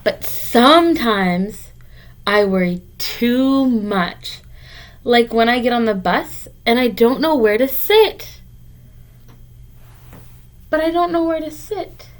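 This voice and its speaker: A woman's voice: on the high side, animated, slow pace, pauses often. A woman teacher reading a picture book aloud to young children, explaining and encouraging them in simple, direct words.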